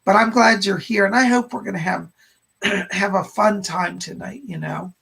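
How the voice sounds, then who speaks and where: A man with a hoarse, raspy voice, rough and gravelly, hosts a casual psychic livestream from his home studio. He gushes over each viewer with bursts of delight, sounding worn and tired. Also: high-pitched, moderate pace, a bit bright. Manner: effusive, gushing greetings with frequent squeals of delight. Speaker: psychic medium hosting a live stream.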